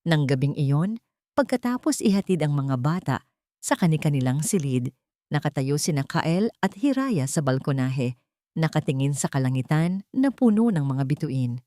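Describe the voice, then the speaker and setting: Lady reading a dramatic story, low voice, super dry close sound. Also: sing-song, normal speed, mellow, no echo. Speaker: storyteller, channel host. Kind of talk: scripted dramatic story narration.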